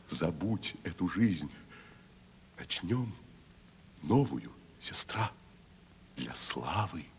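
Deep, slow, anguished drunken tragedian. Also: male voice, heavily acted. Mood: consoling, fervent. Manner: declamatory, anguished, dramatic pauses, drops into whispers. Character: grandiose, tormented drunken wandering actor, self-reproaching brother. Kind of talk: theatrical Russian radio play acting. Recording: faint hiss of 1970s radio recording.

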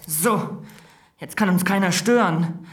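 A woman's voice: lowish voice, slow pace. Distant, pleading woman. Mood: urgent, chiding. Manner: urgent, pleading. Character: anxious, pleading sister of a villain.

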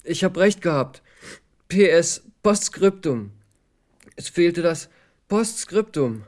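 A man's voice: a little high, animated, slow pace, darkish tone. An actor playing a naive, earnest young village man in a radio drama, with a guileless, wondering way of talking. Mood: excited, triumphant.

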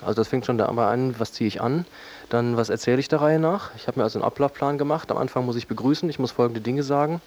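Fast-talking man explaining in an interview. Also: mid-range voice, a little mellow. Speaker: test supervisor, interviewee.

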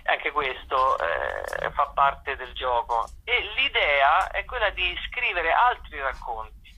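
A man speaking as a theatre guest in an informal radio interview, explaining enthusiastically in halting, repeated phrases. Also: a little high, very animated, sharp tone, slightly rough, rough recording.

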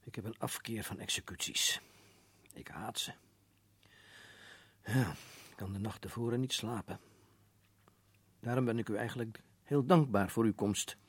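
A man's voice: fairly low pitch, unhurried, long pauses, breathy edge, no room sound. A man playing a firm, matter-of-fact older prison governor in a radio play. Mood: weary. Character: stern older male prison governor, a colonel.

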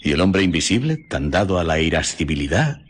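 Thoughtful gentleman doctor, Spain accent, radio play. Very deep and husky, reflective, theatrical. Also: very animated, fairly quick, exaggerated voice. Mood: pensive, concerned. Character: thoughtful, troubled gentleman doctor reasoning out a crime. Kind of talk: Spanish radio drama acting.

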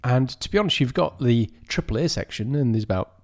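A man's voice: medium pitch, animated, fairly quick. A man in his forties, co-hosting a podcast in casual chat, stammering a little on restarts. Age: 40s. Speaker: podcast co-host.

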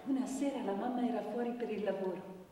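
An actress playing a wounded young woman giving halting, intense testimony about abuse in a theatrical radio drama. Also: fairly high pitch, music in the background, close to an everyday voice. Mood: pained, hushed. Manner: halting, intense, dropping to hushed tones. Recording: distant, echoey hall with faint noise.

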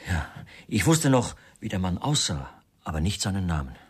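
An actor playing a brooding, evasive writer with a terse, guarded manner in a German radio crime drama. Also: male voice, low-pitched, big pitch swings, normal speed, slightly rough, no room sound, heavily acted. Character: brooding male writer, evasive husband of a dead woman.

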